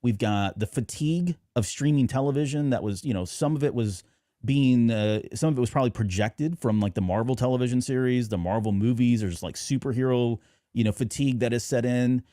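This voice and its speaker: Male podcast host, middle-aged, mellow mid-range voice, casual and discursive, recorded dry. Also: medium pitch, a little fast, no room sound. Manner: discursive, agreeable, talking through points. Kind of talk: casual fan commentary.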